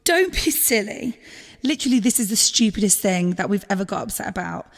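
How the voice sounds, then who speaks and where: A woman's voice: medium pitch, lively intonation, fairly quick, big-room sound. A woman acting a loving, exasperated girlfriend in an audio drama, emotional, pleading and informal, with some swearing. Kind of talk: naturalistic audio drama dialogue.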